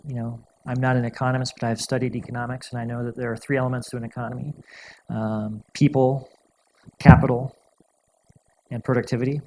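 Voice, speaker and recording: A male guest speaker answering audience questions in a measured, thoughtful way, often restarting and repeating words. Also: medium pitch, little pitch movement, darkish tone, a little hiss or hum, rough recording. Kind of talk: lecture Q&A answers.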